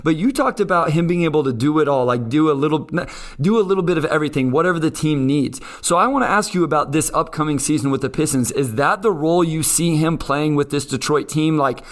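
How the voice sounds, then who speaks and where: A man's voice: fairly high pitch, fast talker. A man hosting a sports podcast in a conversational style. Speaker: podcast host.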